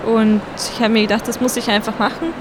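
A young woman from El Salvador speaking, answering interview questions about her illustrating in a soft, hesitant way. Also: on the high side, flat delivery, a little fast. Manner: soft-spoken, hesitant with fillers. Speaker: book illustrator guest. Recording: street noise behind.

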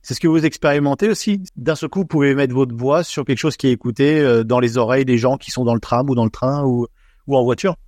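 A man hosting an informal radio interview, forming his questions in a halting way with repeated words. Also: medium pitch, darkish tone, no echo, studio quality. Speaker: radio host interviewing a guest.

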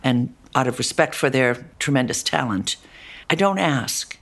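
A woman actor being interviewed about her role, talking animatedly, jumping between loud asides and soft murmurs, often ending with tag questions. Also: extremely low pitch, expressive, a little slow. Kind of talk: conversational interview.

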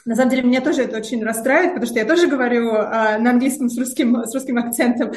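A woman researcher answering interview questions. Her voice sits a little high. She talks in a hesitant way, with many filler sounds and repeated words, and the recording has a roomy echo. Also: very fast.

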